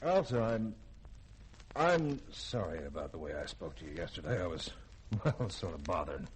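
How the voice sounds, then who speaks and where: Animated, commanding spaceship skipper. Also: male voice, low-pitched, moderate pace. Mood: awkward, flustered. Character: stern, commanding spaceship skipper, awkward around women. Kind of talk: 1950s-style radio drama acting. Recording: noisy vintage radio drama recording.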